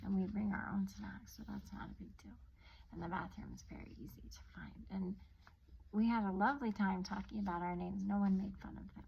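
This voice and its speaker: A woman reading a children's story aloud like a teacher, expressively drawing out words and adding hushed, chatty asides. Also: medium pitch, fairly even intonation, a little fast, vocal fry, highs cut off. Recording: noticeable background noise.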